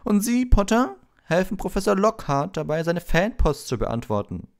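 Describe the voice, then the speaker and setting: A stern older schoolmistress in a storybook , acted out by a man, pitched far above his natural voice. She issues strict, clipped orders. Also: sing-song, moderate pace.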